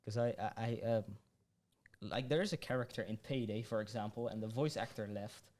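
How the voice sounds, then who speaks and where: A man's voice: lowish voice, steady tone, a little fast, a little mellow. A man hosting a podcast interview, hesitant and stammering, repeating words as he asks his questions. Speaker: podcast host. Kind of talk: casual interview chat.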